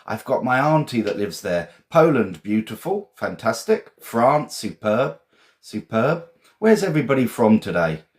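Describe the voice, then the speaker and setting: A man's voice: mid-range voice, big pitch swings, measured pace. A man from England with an English accent, teaching a casual live vocabulary lesson in a chatty, encouraging, informal way and often repeating phrases.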